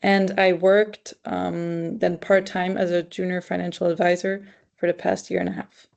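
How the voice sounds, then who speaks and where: Female student, gravelly fry, hesitant, short intro. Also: on the low side, little pitch movement, normal speed, darkish tone, dull audio. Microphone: video call.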